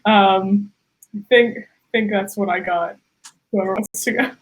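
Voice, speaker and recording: A woman's voice: medium pitch, fairly even intonation, measured pace, rough recording. A woman actor casually talking through her process in an interview. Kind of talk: casual chat, interview answers.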